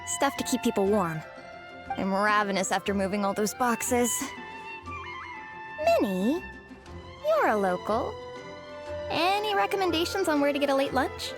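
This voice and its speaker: A spirited young know-it-all fan, high-voiced and brisk, in an anime game. She sounds teasing and sure of herself over clear background music. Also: animated. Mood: confident, teasing. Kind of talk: anime-style game voice acting.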